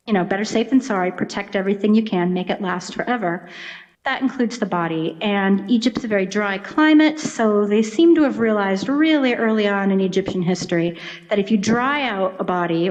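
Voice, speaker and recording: A woman's voice: mid-range voice, a little mellow. A woman speaking as an expert guest, explaining things conversationally and acting out little imagined quotes as she goes. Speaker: Egyptologist, interview guest. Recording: dull, lo-fi audio.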